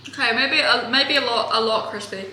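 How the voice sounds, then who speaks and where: A woman vlogging in a home kitchen, chatting in a rambling way with sarcastic, deadpan asides, recorded on a camera mic. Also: on the high side, fairly quick, slight background noise. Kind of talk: casual vlog chat. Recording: roomy with music bed.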